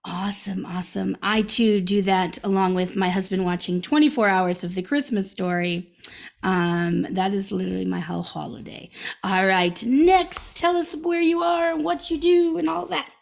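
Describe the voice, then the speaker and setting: A woman's voice: mid-range voice, steady tone, normal speed, creaky. A woman hosting a live online improv comedy show over a video call, upbeat and chatty with many fillers and restarts.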